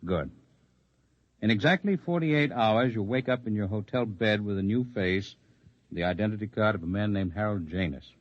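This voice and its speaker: An actor playing a composed, articulate leader of an underground freedom movement in 1950s radio drama style, speaking in measured, formal, persuasive speeches. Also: male voice, on the low side, no echo, lightly acted. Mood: calm, instructive. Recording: dull lo-fi vintage radio recording.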